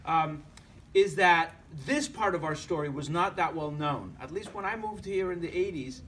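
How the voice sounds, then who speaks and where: A middle-aged man from Argentina with an Argentine accent, giving a relaxed, joking lecture to an audience and often restarting his phrases. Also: on the high side, normal speed, a little hiss or hum. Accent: Argentine Spanish. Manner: conversational, joking, many restarts. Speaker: musician lecturer.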